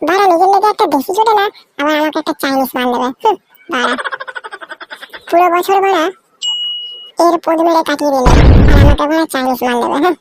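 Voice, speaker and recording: A woman's voice: high voice, lively intonation, fast, pauses often. A woman voicing a foul-mouthed, angry male villager in a cartoon, who scolds and swears constantly. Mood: mocking. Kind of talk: cartoon comedy voice acting. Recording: noisy homemade recording.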